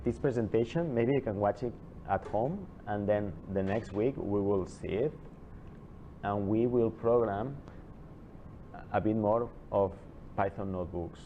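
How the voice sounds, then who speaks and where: Chatty low-voiced man lecturing a class. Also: warm tone, a little hiss or hum. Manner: chatty, digressive, with halting restarts. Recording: dull lo-fi classroom recording.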